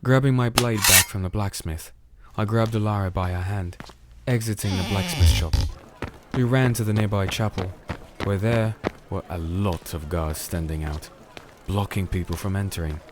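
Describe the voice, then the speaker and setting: A man playing a calm, firm crusader in a fantasy audio drama, narrating and speaking in a measured, solemn way. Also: sits low, noisy background, lightly acted. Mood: solemn narration. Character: disenchanted male crusader, calm, firm sword-bearing investigator. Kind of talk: audio drama dialogue and first-person narration.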